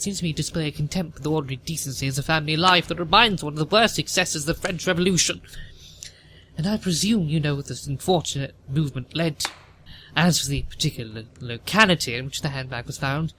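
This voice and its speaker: A man's voice: fairly high pitch, sharp tone, a little hiss or hum, low-quality recording. A man playing a haughty, imperious older lady in an audio play, with a pompous, precise manner. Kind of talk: audio play acting of period comedy.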